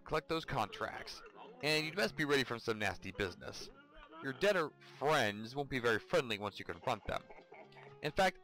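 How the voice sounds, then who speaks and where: A man's voice: medium pitch, pushed and bright, poor audio. A man voicing a wise old fatherly guardian from a cartoon storybook, grave and cautioning.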